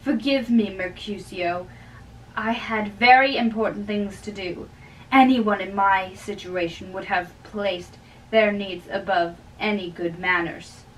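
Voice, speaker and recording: A young woman giving an amateur dramatic reading of a play, halting and stumbling over words, recorded by a distant camera in a bedroom. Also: medium pitch, a bit bright, slight background noise. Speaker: amateur reader. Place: small bedroom, indoors. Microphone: far camera. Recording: distant microphone in a room.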